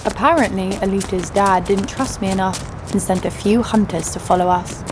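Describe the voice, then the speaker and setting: A woman's voice: medium pitch, normal speed, noisy background, close to an everyday voice. An actress playing a scheming, foul-mouthed young female criminal who narrates an audio drama with sarcastic irritation. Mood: wry storytelling. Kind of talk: first-person audio drama narration and dialogue.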